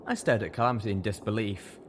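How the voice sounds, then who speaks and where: A man reading a first-person story aloud as an expressive narrator, with lively delivery. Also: fairly low pitch, fast talker, a little hiss or hum. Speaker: narrator of an audiobook fan fiction reading.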